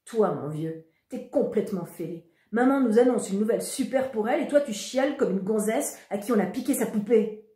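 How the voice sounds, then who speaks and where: A woman reading aloud voices a teasing teenage boy who mocks his family in a loud, cheeky way. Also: medium pitch, expressive, fairly quick, lightly acted. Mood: mocking. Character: teasing, mocking teenage boy, big brother. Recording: roomy echo.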